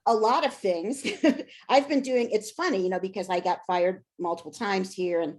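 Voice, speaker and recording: An American woman with a medium-pitched voice speaks as a scholar in an interview. Her delivery is informal, as she thinks aloud and often breaks off to restart a thought. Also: normal speed, darkish tone. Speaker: scholar and author. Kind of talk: casual interview answers.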